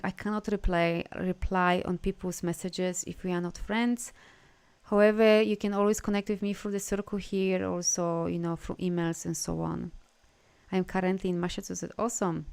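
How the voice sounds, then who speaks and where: Woman, energy healer on a live stream, effusive and chatty, smooth clear voice. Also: mid-range voice, darkish tone. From Poland. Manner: effusive, gushing praise and frequent laughter. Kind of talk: casual spiritual live stream.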